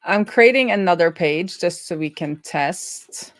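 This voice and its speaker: A woman live-streaming as a programmer, thinking aloud in a casual, halting way as she mutters through her work. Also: on the low side, lively intonation, no room sound. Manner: halting, muttering to herself while working.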